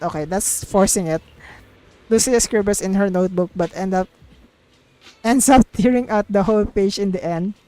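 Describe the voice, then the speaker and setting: A woman's voice: medium pitch, warm tone, rough recording. A woman streaming a story game, casually reading the dialogue aloud and reacting with giggly, sweary exclamations. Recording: music playing behind the voice.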